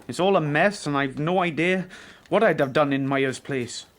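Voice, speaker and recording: A man's voice: on the high side, normal speed, close to an everyday voice. A man acting a grumbling, sardonic, contrary husband in a radio drama. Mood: musing, contrary. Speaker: actor in radio play. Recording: faint background noise.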